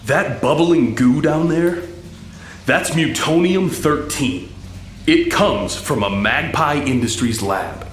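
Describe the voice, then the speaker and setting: A man voicing a principled, pleading male mutant in an animated production. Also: on the high side, takes it slowly, roomy sound, a little hiss or hum. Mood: earnest, firm. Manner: earnest, emphatic.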